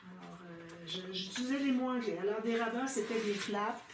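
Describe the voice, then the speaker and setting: A woman's voice: medium pitch, moderate pace, recorded from a distance. A woman with a Québécois French accent hosting a casual scrapbooking tutorial, chatty and thinking aloud with frequent fillers. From Quebec, Canada. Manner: chatty, thinks aloud with many "euh" fillers and small laughs. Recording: roomy, off-mic, with loud background noise.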